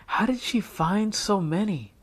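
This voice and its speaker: A man voicing a worried young schoolgirl in a storybook read-aloud, with a high, fretful tone. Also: lively intonation, unhurried, slightly rough, exaggerated voice.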